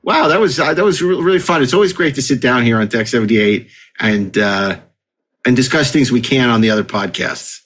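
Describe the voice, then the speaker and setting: A man hosting a podcast with casual, jokey banter and a slightly halting delivery. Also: medium pitch, fairly bright tone. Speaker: podcast host.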